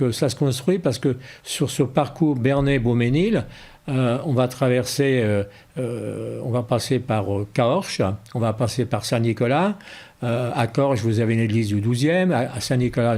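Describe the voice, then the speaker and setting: A man's voice: mid-range voice, a little mellow. A man being interviewed in a casual way, repeating words as he searches for his phrasing. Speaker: interview guest, horse-drawn carriage driver.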